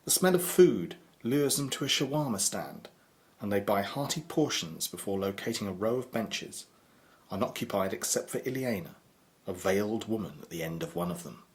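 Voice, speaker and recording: A man's voice: on the low side, lively intonation, normal speed, close to an everyday voice. A man narrating an audio drama as a wry storyteller, reading scripted prose. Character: wry male storyteller narrating an adventure tale.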